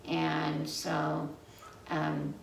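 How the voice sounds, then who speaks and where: A woman tells a children's story at an unhurried pace, meandering as she goes. Her voice has some fry in it. She is recorded at a distance from the microphone in a roomy space. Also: low-pitched, monotone. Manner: halting, meandering telling with dramatic questions to listeners. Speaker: library storyteller. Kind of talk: children's story time, told from memory. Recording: roomy, distant mic with faint noise.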